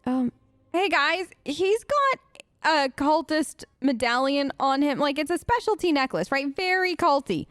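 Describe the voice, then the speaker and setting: A woman's voice: high voice, expressive, unhurried, fairly bright tone, no echo. A young woman giving chatty, playful role-play commentary while playing a game, close on the mic. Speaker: let's play host.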